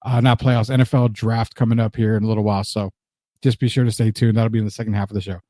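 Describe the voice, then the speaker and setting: A man's voice: fairly low pitch, level tone, fast, dark tone, dry recording, clean studio sound. A man from Rico, Spanish speaker, hosting a casual sports talk show and driving his points home by repeating them. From Puerto Rico. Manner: emphatic, repeats his points, reads out viewer comments.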